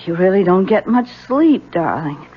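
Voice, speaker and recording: An actress playing an ailing, dependent wife, gentle, weary and plaintive, in a 1970s radio drama. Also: female voice, mid-range voice, sing-song, normal speed, breathy edge. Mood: weary, grateful. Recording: dull, faintly noisy 1970s radio recording.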